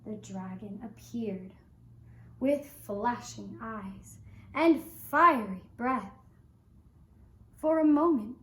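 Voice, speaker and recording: A woman with a high voice narrates a children's story for a puppet show in an echoey room. Her delivery is extremely slow and gentle. She sets each phrase down deliberately, leaving space after it. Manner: measured, deliberate phrasing with pauses. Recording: roomy, echoing indoor sound.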